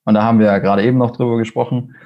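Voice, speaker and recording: A man's voice: medium pitch, steady tone, racing, muffled recording. A man with a Swabian accent, a fantasy author speaking casually and enthusiastically in an interview, rambling with fillers and restarts. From Swabia, Germany.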